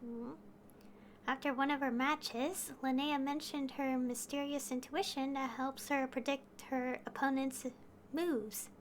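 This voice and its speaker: A woman's voice: high-pitched, steady tone, measured pace, slight background noise, poor audio. A woman streaming a video game, reading dialogue aloud and chatting casually to viewers.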